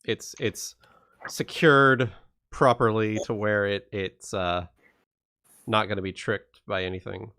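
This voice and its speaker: A male player in a casual tabletop game chat, talking in a low-key way. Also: steady tone, slow, pushed and bright, smooth, no room sound, clean studio sound.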